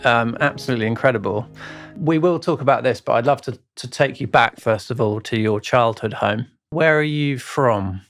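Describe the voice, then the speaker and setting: A man hosting a podcast, asking a designer about her childhood with gentle, probing questions. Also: mid-range voice, normal speed, soft background music. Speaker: podcast host. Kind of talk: interviewing.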